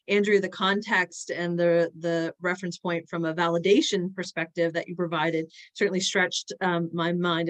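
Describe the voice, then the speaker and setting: A woman speaking as a panelist in an online discussion, earnest and halting, with frequent fillers and restarts. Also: on the low side.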